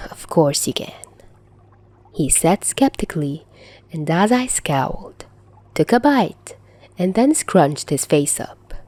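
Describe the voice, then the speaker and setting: A woman's voice: sits low, dark tone, no room sound. A woman reading fiction aloud in an audiobook narration style. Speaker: podfic narrator.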